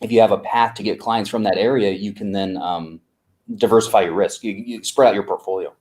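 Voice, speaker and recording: A man co-founder of an agency, answering interview questions in an unhurried way with many fillers and restarts. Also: fairly low pitch, steady tone, darkish tone.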